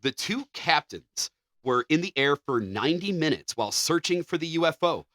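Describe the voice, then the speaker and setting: Man, bright forceful voice, podcast co-host, casual article reading, dry close recording. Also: fairly high pitch, lively intonation, normal speed, no room sound. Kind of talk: casual chat and reading news articles aloud.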